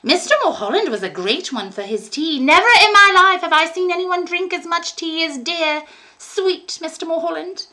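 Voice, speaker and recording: A woman doing a high, gushing, dainty old landlady. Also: expressive, normal speed, bright, forceful tone, heavily acted. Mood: cosy, insistent. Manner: gushing, cooing, genteel. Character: dainty older landlady, sweet, fussy, eerily doting. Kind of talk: storybook read-aloud.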